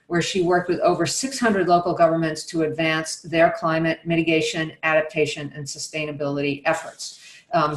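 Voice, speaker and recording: An older woman with a low voice introduces speakers on a video call, pausing often with ums and uhs. Her voice has a roomy, echoey sound to it. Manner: hesitant, many ums and uhs. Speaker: webinar moderator. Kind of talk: webinar introduction.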